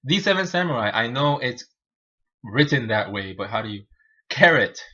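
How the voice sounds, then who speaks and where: A man whose native language is Spanish, hosting a programming livestream and thinking aloud in an informal, halting way as he explains his code. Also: mid-range voice, expressive, bright, forceful tone, breathy edge, muffled recording. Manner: halting, informal, self-narrating. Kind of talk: live coding tutorial, thinking aloud.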